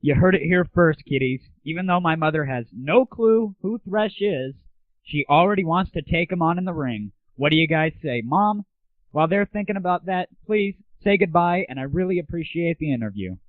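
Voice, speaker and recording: A man's voice: on the high side, animated, normal speed, no room sound. Young man hosting, tinny phone-like sound. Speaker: show host and interviewer. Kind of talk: casual interview and chat for a gaming audio show. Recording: tinny, phone-like, band-limited 1990s internet audio.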